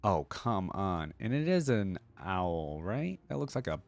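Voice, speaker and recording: A man's voice: low-pitched, sing-song, unhurried, vocal fry, a little mellow. A man giving casual, slangy reaction commentary as a video game host talking to his viewers. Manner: informal, slangy, addresses viewers.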